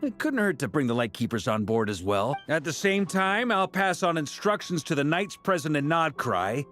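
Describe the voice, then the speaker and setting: Game casting: young knight leader, confident, expressive, encouraging, faint music underneath. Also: male voice, a little high, normal speed. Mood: confident, upbeat. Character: confident, genial young male knightly commander. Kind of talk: video game voice acting.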